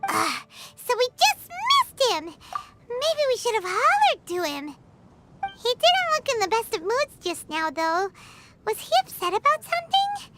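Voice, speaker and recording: A woman voicing a tiny, childlike fairy girl companion in an anime game, excitable, whiny and pushy, with a squeaky voice. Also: a little slow, exaggerated voice. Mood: curious. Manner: speaks of herself in third person, excitable. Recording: loud music behind the voice.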